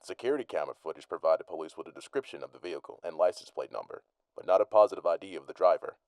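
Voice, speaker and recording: A man's voice: low-pitched, expressive, very fast, darkish tone, dry recording. An actor playing a serious male TV news reporter, delivering a formal report in an audio drama. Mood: brisk, factual.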